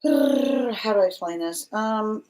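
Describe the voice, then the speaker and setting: A woman's voice: fairly high pitch, expressive, slow, a little mellow, poor audio. A woman giving a live-streamed tarot reading, thinking aloud, reading viewer comments out and often restarting her phrases. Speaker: tarot reader.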